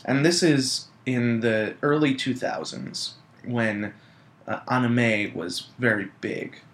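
A man's voice: mid-range voice, slow, a bit bright. Rambling young man co-hosting a chat. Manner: rambling, self-deprecating, laughs often. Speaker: podcast co-host. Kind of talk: casual chat.

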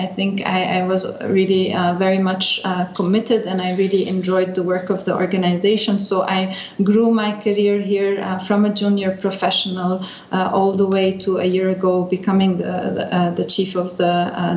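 A middle-aged woman from Lebanon giving unscripted interview answers, pausing often as she searches for words. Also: medium pitch, flat delivery, darkish tone. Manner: hesitant, searching for words with frequent pauses. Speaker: interview guest, energy division chief. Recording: dull lo-fi roomy sound, faint noise.

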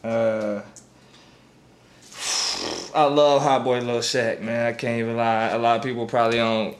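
A man's voice: mid-range voice, level tone, a little slow. Slangy, emphatic man in casual chat. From born in Connecticut, raised in Charlotte.

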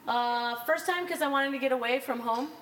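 A woman in her thirties answering rapid questions in a casual group chat, giggly and chatty. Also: high-pitched, fairly bright tone. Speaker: interviewee, chemistry faculty. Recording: echoey room, faint background hum.

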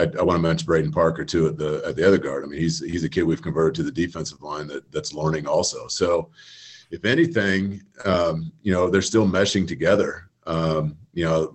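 Rich: A football coach speaks in a very low, husky voice over a video call. He rambles through his answers, stopping and restarting with plenty of fillers. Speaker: high school football coach, guest. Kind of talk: casual radio interview.

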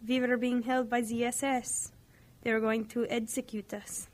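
Actress as a subdued, even-toned young camp survivor. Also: female voice, fairly high pitch, moderate pace, close to an everyday voice. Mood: subdued, sorrowful. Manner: subdued, plain, short sentences. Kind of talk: radio drama acting.

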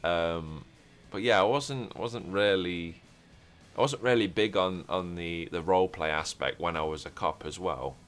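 A man's voice: low voice, measured pace, fairly bright tone, faint music behind. A man hosting a roleplay podcast, chatting casually and rambling with many fillers and restarts. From Europe. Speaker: podcast host.

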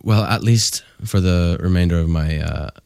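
A man's voice: low voice, moderate pace, creaky, darkish tone, no room sound, clean studio sound. A young man from Finland, a guest musician giving short, easygoing answers in a casual interview. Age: young adult.

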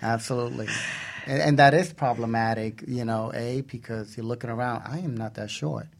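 A man's voice: mid-range voice, steady tone, a little fast, gravelly. A man hosting a casual radio discussion, talking in an earnest, conversational way. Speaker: radio show host.

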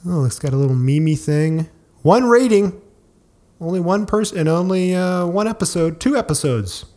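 A man co-hosting a casual podcast, with a gravelly voice, playful and laughing as he reads things aloud. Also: high voice, expressive, moderate pace, darkish tone. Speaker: podcast co-host. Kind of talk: casual chat.

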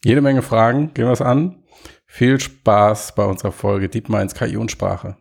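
A man hosting a podcast, introducing the episode and asking measured questions. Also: on the low side, vocal fry, darkish tone. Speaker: podcast host.

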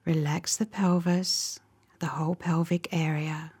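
A woman leading a guided relaxation meditation in a soft, breathy voice, giving gentle, soothing instructions in short, measured phrases. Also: low-pitched, flat delivery. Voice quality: soft, breathy, often near a whisper.

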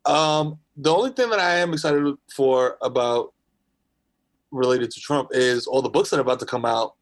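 A young man with Dominican family roots co-hosting a casual comedy podcast, slangy and quick to laugh. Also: medium pitch. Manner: slangy, excitable, laughs often. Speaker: podcast co-host.

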